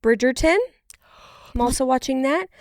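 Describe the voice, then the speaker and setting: A woman co-hosting a podcast, chatting casually in an informal, expressive way. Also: high voice, moderate pace, no echo, clean studio sound. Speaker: podcast co-host.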